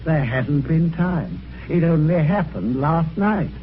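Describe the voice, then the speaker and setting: A man's voice: a little high, lively intonation, takes it slowly, a little hiss or hum. An actor playing a well-spoken British amateur sleuth, telling his story conversationally in a radio mystery. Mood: startled. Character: genteel British amateur sleuth recounting his eerie adventure. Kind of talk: 1950s radio mystery acting, first-person narration. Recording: dull old radio recording, music behind.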